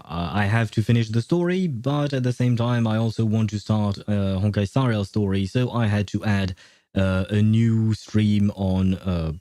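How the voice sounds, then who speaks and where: A man's voice: fairly low pitch, mellow, dry recording, studio quality. A man hosting a livestream, chatting casually with viewers in a hesitant, repetitive way. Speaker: livestream host.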